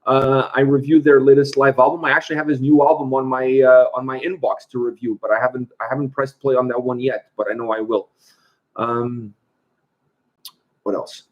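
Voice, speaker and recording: A man's voice: medium pitch, steady tone, moderate pace. Male host, Portuguese-born, casual and talkative, answers viewer questions, muffled stream audio. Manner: chatty, reads viewer questions aloud. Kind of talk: casual livestream chat.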